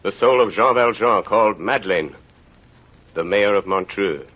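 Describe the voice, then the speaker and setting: An actor playing a solemn literary narrator of a tragic tale, grave and oratorical, in vintage radio drama style. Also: male voice, lowish voice, normal speed, close to an everyday voice. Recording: muffled vintage radio recording, music behind.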